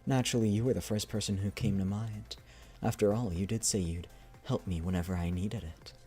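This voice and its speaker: A man voicing a coy, teasing game character in a slow, hushed, suggestive manner. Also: on the low side, steady tone, faint music behind, lightly acted. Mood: coy, woozy.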